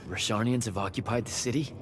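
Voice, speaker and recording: An actor playing an embittered, impulsive young battlemage hero in a video game, emotional and sardonic in a casual way. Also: male voice, fairly low pitch, steady tone, fast, close to an everyday voice. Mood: weary, puzzled. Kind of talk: cinematic game acting. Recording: noisy game battle and ambience.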